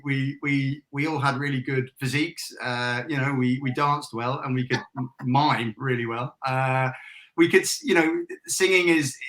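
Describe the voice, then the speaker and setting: A chatty British man in his forties with a faint Irish lilt, laughing as he tells stories about himself. His voice is bright and clear at an ordinary pitch. He often stops and restarts mid-sentence. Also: poor audio. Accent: British, with a trace of Irish. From England. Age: 40s. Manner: chatty and self-deprecating, laughs often, with many restarts and fillers. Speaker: guest, retired army major and business founder. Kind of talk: casual interview chat.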